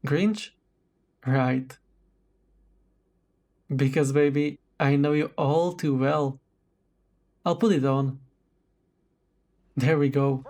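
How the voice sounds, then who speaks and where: A man playing a tender, caring boyfriend in a romantic roleplay audio drama, speaking gently and affectionately. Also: medium pitch, fairly even intonation, drawn-out words, long pauses. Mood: cheerful, fond, then affectionate, relaxed. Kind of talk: romantic roleplay audio drama, intimate one-sided dialogue.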